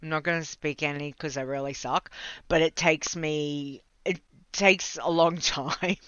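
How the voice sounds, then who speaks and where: An Australian host talks unhurriedly in a bright, smooth voice, drifting off on tangents and laughing between words. The sound is dry and a little dull, like a modest home mic. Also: medium pitch, fairly even intonation, unhurried, dry recording. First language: English. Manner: rambling, laughs often, stumbles over words. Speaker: livestream host. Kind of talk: casual chat with viewers.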